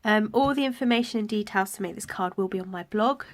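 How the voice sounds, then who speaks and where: A woman talks through a card-making project with a head cold, her voice stuffy and a little raspy. She speaks softly as she works, at times close to a whisper. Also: mid-range voice, fast talker. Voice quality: raspy, blocked-up nasal voice. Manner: soft, partly whispered while working. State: congested from a cold. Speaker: stamping craft demonstrator. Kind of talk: craft tutorial.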